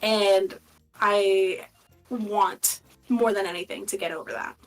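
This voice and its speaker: A woman's voice: medium pitch, fairly even intonation, takes it slowly, soft background music, low-quality recording. A woman from Latin America, a guest in a casual interview, talking candidly and reflectively with many filler words.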